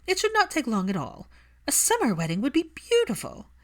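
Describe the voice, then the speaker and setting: Woman acting imperious older witch, pitched up high. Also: huge pitch swings, a little fast. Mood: haughty, coaxing. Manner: haughty, insistent. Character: imperious older witch, eager, scheming aristocratic mother.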